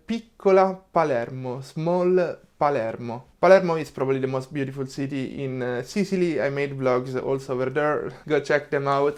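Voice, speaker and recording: A young adult man from Italy with an Italian accent, explaining history in a casual vlog with sarcastic asides and chuckles. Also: on the high side. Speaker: vlogger.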